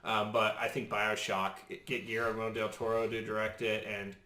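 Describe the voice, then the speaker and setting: A man's voice: on the low side, little pitch movement, moderate pace, bright, forceful tone. A man in a casual podcast discussion, giving his opinions emphatically in a roomy space. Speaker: podcast panelist. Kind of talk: casual movie and game discussion. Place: indoor room with echo. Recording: roomy, echoing room.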